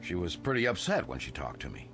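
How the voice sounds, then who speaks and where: An actor playing a blunt, folksy brother-in-law who probes with suspicion in a mid-century TV drama. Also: male voice, low voice, huge pitch swings, quick pace, full character voice. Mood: probing. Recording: vintage TV soundtrack with music.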